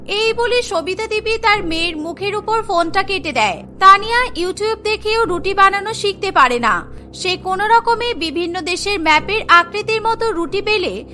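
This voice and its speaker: Woman storyteller, really high bright voice, cartoon narration, music underneath. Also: expressive, moderate pace. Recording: music behind the voice.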